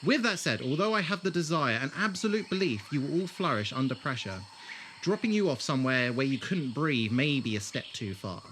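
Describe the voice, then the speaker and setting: An actor playing an eccentric, wordy alien time traveller in an audio drama, grandiose and wry. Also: male voice, medium pitch, lively intonation, a little fast, a bit bright, slight background noise, close to an everyday voice. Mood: wry, teasing.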